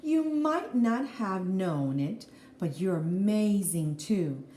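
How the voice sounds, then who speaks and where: A woman teacher reading a children's picture book aloud in an expressive, deliberate way, with explanatory asides to young listeners. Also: medium pitch. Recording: roomy echo, faint background noise.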